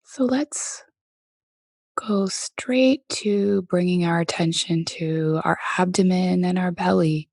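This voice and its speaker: A woman guides a meditation at a very slow, unhurried pace. Her voice is soft and sits a little low. She leaves gentle pauses between phrases, and the recording is dry and close. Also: fairly even intonation, vocal fry, no echo. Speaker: meditation teacher leading a body scan.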